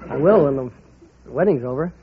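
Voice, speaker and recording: A man's voice: mid-range voice, expressive, fairly quick, over a noisy crowd. A middle-aged man answering as a quiz show contestant, telling plain little anecdotes. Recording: dull vintage radio recording, faint hiss. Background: studio audience.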